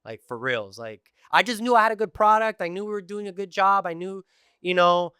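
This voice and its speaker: A middle-aged man from Tijuana and East Los Angeles tells stories in a bright, crisp, fairly high voice. He talks casually and with energy, full of "you know" and "like". The recording is close and dry. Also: a little fast, no room sound. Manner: animated storytelling with many fillers. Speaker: guest. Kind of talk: casual podcast conversation.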